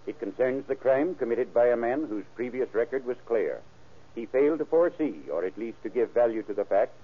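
Male sheriff reading a sober scripted speech, formal and measured, thin old radio sound. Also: mid-range voice. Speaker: sheriff giving a program foreword. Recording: tinny old radio recording.

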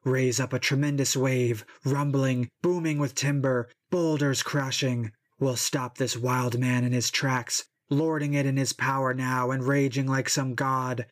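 A vast, wrathful river god hurls booming threats, rising higher and louder than the storyteller's own voice, as a man puts him on in an epic reading. The delivery turns slow and heavy on the biggest images. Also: flat delivery, lightly acted. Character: raging river god, male, vast and furious.

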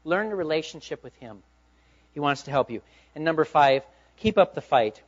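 A middle-aged male pastor preaching a sermon, swinging from loud urging to hushed, quiet asides. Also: fairly high pitch, lively intonation, normal speed. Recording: dull lo-fi sound.

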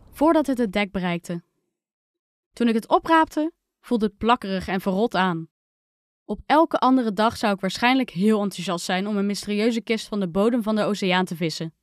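A woman reading a scripted first-person children's story with expressive, dramatic delivery. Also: medium pitch, moderate pace, dry recording, studio quality. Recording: music under the voice.

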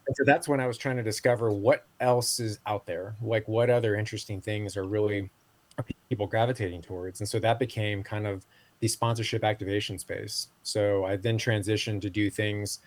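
A man's voice: fairly low pitch, fairly even intonation, a little fast, dry recording. A man in his forties, a podcast guest, talking casually with many fillers and restarts. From Southeast Asia. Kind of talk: casual interview talk.

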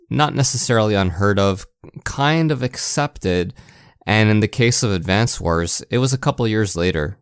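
This man has a clear, smooth voice on the low side, recorded dry and close. He sounds like a podcast host in a casual game discussion. His delivery is conversational, punctuating points with little rhetorical check-ins. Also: dry recording.

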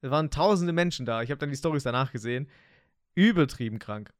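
A young man co-hosting a casual podcast chat, slangy and excitable, laughing often. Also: mid-range voice, fast talker, dry recording, clean studio sound. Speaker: podcast co-host.

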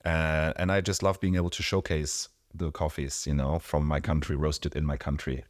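A man's voice: extremely low pitch, level tone, normal speed, dry recording, clean studio sound. A man from Mexico, a guest in a casual interview, talking enthusiastically and often saying "you know". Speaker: guest, coffee subscription owner.

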